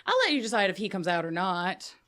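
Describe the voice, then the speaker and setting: A woman's voice: mid-range voice, animated, fast, fairly bright tone. A woman narrating as game master in a casual, profane way, full of filler words.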